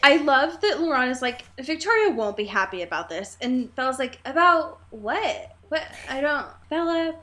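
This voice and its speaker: High-pitched sarcastic woman chatting. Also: big pitch swings, moderate pace, few pauses, bright, forceful tone. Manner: sarcastic, slangy, opinionated. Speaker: podcast co-host. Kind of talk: casual book discussion chat.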